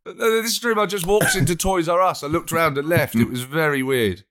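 A man, a comedian guest on a podcast, rambling and playful and quick to giggle. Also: on the high side, fairly bright tone. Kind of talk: comic podcast chat.

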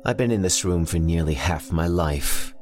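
A man voicing an eerie young woman patient who talks softly, slowly and sleepily. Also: deep voice, faint music behind, lightly acted. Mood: dreamy. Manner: soft, slow, sleepy.

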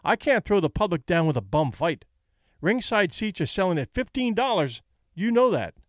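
A man doing a loud, snappish fight promoter. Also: sits high, big pitch swings, moderate pace, dry recording, studio quality, pitched above the performer's own voice. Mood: brusque. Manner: snapping, blustering. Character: loud gruff male fight promoter, impatient.

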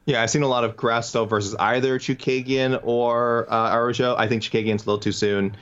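A man's voice: mid-range voice, level tone, a little fast, fairly bright tone, lo-fi sound. A man co-hosting a podcast in casual chat, chatty and playful, reading out listener messages. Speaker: podcast co-host.

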